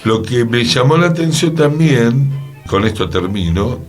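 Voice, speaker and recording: A man's voice: mid-range voice, lively intonation, a little slow, soft background music. A man with an Argentine accent in a conversational radio discussion, speaking in an emphatic, reflective way.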